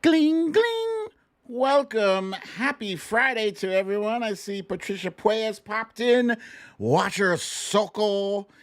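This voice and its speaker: A man's voice: high voice, expressive, slow. A middle-aged man hosting a livestream show, chatting casually with viewers in a playful, sing-song way. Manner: playful, exclamatory, sing-song with silly catchphrases.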